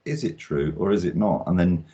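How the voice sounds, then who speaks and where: A man's voice: deep voice, a little fast, warm tone. A man hosting a casual interview as an art critic, talking in a rambling way with many ums and restarts. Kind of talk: casual video-call interview. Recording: dull lo-fi sound.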